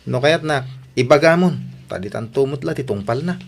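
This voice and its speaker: A man's voice: medium pitch, expressive, moderate pace, gravelly, slight background noise. A man with an Ilocano Filipino accent playing an impatient young suitor in an audio drama, insistent and pressing. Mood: insistent wooing. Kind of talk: radio drama acting.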